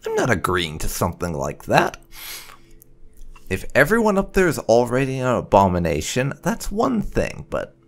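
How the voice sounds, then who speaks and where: A man giving casual, expressive, conversational video game commentary with some light roleplay. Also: medium pitch, moderate pace, gravelly. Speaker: gaming channel host. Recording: faint game music behind.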